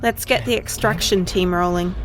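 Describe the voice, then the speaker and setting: An actress playing a capable rescue medic who speaks with urgency and concern in an audio drama. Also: female voice, on the low side, fairly even intonation, moderate pace, music in the background, close to an everyday voice, poor audio. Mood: urgent, concerned. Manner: urgent, questioning.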